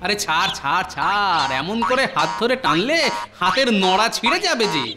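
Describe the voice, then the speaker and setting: A man's voice: high-pitched, very animated, normal speed, over music. A man playing a witty, pot-bellied older cartoon court jester in a playful, exclamatory way. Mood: flustered. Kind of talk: Bengali cartoon voice acting.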